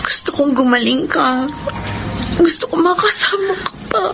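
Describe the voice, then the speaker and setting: Emotional, high-voiced young woman. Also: normal speed, over music. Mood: tearful, distressed. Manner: emotional, expressive. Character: grieving, devoted young female friend of a dying woman. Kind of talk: Filipino radio drama acting.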